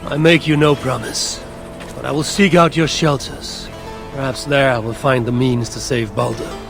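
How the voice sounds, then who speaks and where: Man playing a gravelly, solemn Norse warrior god. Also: mid-range voice, fairly even intonation, moderate pace, music in the background, natural voice. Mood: resolute. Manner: formal, solemn, terse. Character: stern, proud Norse god warrior father. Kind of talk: video game cutscene acting.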